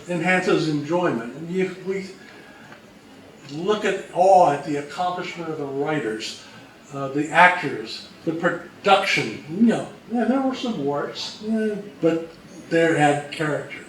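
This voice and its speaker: Higher-voiced man presenting in an echoey room. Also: expressive, takes it slowly. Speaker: co-presenter at an old-time radio convention talk. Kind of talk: informal explanatory presentation. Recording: echoey, distant, faintly noisy live recording.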